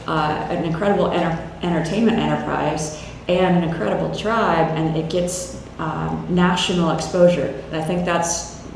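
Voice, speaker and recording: Woman executive, low voice, conversational press conference answers, heavy room echo. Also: a little mellow, distant mic. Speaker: university athletic director answering questions. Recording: very echoey room with faint noise.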